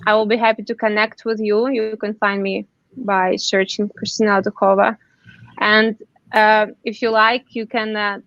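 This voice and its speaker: A woman presenting job-search tips on a webinar, earnest and halting, with many restarts and fillers. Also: medium pitch, a bit bright, dry recording. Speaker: webinar panelist. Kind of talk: slide presentation. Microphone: computer mic on a video call. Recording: dull dry video call audio.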